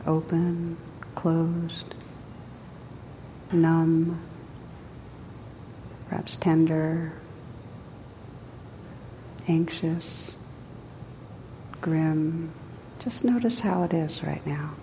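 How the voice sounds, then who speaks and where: Low, mellow woman guiding meditation in a hall. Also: steady tone. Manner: gentle, unhurried, pausing between short phrases. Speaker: meditation teacher. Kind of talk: guided meditation. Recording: echoey hall, rumbly background noise.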